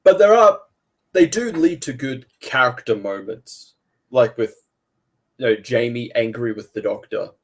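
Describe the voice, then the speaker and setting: Australian man, eager podcast co-host, mid-pitched voice with an ordinary range, casual review talk full of restarts. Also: lively intonation, unhurried. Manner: enthusiastic, with frequent restarts.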